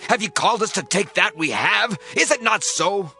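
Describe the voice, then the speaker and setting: A man's voice: high-pitched, lively intonation, normal speed, gravelly, sharp tone, faint music behind, heavily acted. A man playing a menacing, taunting ancient townsman in dramatized scripture, theatrical and sly. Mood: threatening. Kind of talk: dramatized scripture, archaic English.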